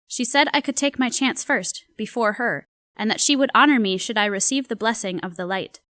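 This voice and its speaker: Woman doing a curious 60s anthropologist reading her diary, higher voice, dry sound. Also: a bit bright, dry recording, natural voice. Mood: reflective. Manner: measured, reflective diary reading. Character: female anthropologist in the 1960s, curious and uneasy. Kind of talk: dramatic reading of a journal.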